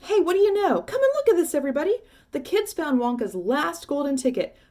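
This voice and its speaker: A woman voicing a fat, jolly middle-aged male shopkeeper who exclaims loudly and gets carried away. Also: mid-range voice, a little fast, natural voice. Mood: overexcited. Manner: excitable, loud and exclaiming.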